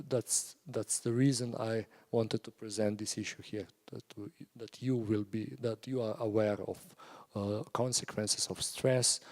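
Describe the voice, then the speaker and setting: A man presenting at a meetup, answering audience questions in a halting way with many fillers. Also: on the low side, darkish tone. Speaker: presenter on stress and psychotherapy.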